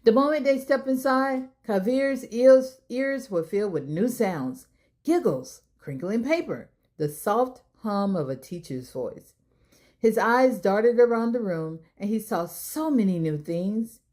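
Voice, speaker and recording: A woman hosting a children's storytime, reading aloud in an expressive way as if talking straight to young listeners. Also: fairly high pitch, unhurried. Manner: expressive, addressing children directly. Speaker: storytime reader and host. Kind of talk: children's read-aloud narration.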